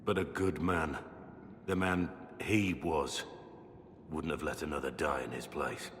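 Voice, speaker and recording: Very deep, guilt-ridden soldier. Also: male voice, steady tone, fairly bright tone, in a large hall, slight background noise. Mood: remorseful, subdued. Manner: grave, halting confession. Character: guilt-ridden bearded male soldier confessing from a prison cell. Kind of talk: video game voice acting.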